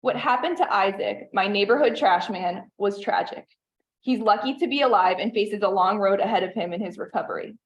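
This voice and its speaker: A woman in her thirties reads a prepared statement at a town meeting. She speaks earnestly and in a measured way, at an ordinary mid-range pitch for a woman. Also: highs cut off. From western Pennsylvania. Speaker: resident giving public comment. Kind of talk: prepared public comment read aloud.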